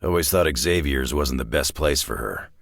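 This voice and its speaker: A man voicing a gruff, weary middle-aged superhero brawler for a video game, with a deep raspy growl and terse, dry, folksy tough-guy talk. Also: normal speed, a bit bright, no echo, exaggerated voice. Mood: dry, reflective. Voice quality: deep gravelly rasp.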